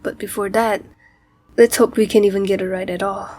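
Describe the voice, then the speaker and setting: A woman playing a protective, steady mother fleeing a war in a radio drama, instructing and reassuring. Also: medium pitch, brisk, natural voice. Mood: calm, firm.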